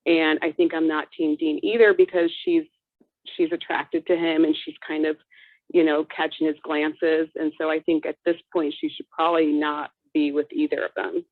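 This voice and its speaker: Deep-voiced woman musing over a tinny line. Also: level tone, no room sound. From Chicago, USA. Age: 30s. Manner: reflective, halting with frequent ums. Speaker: viewer joining a watch-along chat. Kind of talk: casual chat. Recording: tinny phone-like dry line.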